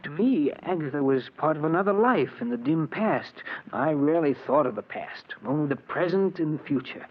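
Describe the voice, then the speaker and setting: A man playing a soft-spoken, self-justifying murderer in 1940s radio drama, speaking in a measured, polite, earnest way. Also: on the high side, expressive. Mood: reflective, confessional. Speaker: actor playing narrator and lead character. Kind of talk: 1940s radio drama acting, confessional narration. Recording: dull, noisy old radio recording.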